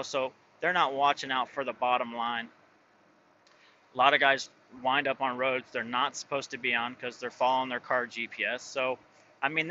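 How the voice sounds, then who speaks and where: A man's voice: mid-range voice, sharp tone, muffled recording, a little hiss or hum. A man who drives his own truck, talking casually and rambling, full of fillers and restarts. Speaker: truck driver and owner operator. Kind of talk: casual vlog talk.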